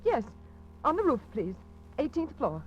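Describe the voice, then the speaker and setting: A woman's voice: sits high, wildly swooping pitch, measured pace, soft background music, exaggerated voice. An actress playing a frightened young woman who speaks anxiously and stammers in a 1940s radio drama, heard through a dull lo-fi broadcast recording. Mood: fearful.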